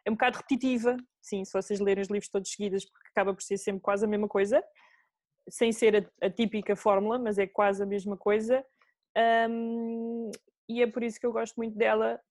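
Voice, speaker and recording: A woman's voice: mid-range voice, flat delivery, a little fast, darkish tone, dry recording. A woman with a European Portuguese accent, co-hosting a casual chat about books. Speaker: book podcast co-host.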